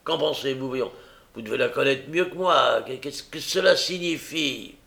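A man voicing a brutal, bullying brigand in a big gruff voice, barking threats and oaths in a story reading. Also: medium pitch, normal speed, sharp tone, pitched above the performer's own voice. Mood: gruff, exasperated.

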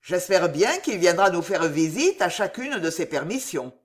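A woman's voice: lowish voice, expressive, normal speed, natural voice. A tentative, anxious farmer man, read by a woman narrator in her ordinary voice. He speaks carefully and unsurely in an audiobook scene. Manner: hesitant, tentative. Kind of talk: audiobook reading.

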